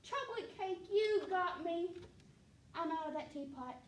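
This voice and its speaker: A woman's voice: extremely high pitch, animated, a little slow, voice pushed up. A woman voicing a stubborn, lazy dragon who drawls his refusals, in a storybook reading. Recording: roomy distant mic, faint background noise.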